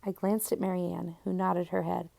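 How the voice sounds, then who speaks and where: A woman reading a children's storybook aloud in an expressive first-person narration. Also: on the low side, a little fast, vocal fry. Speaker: audiobook reader.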